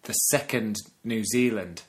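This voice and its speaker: A man's voice: lowish voice, measured pace, slightly rough. A man from Burnley with a Lancashire accent, co-hosting a casual podcast chat and laughing often. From Burnley, Lancashire, England. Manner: chatty, laughs often, drops "the". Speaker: podcast co-host. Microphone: video call.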